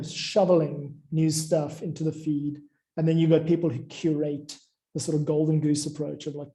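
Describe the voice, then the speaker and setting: A man's voice: on the high side, mellow, poor audio. A man in an informal, discursive chat, explaining his ideas as he goes. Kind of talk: informal chat about craft.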